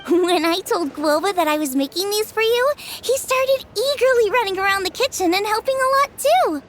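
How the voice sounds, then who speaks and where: A woman's voice: very high-pitched, expressive, moderate pace, faint music behind. A woman voicing a cheerful, friendly young female chef in an anime-style video game. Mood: friendly, eager.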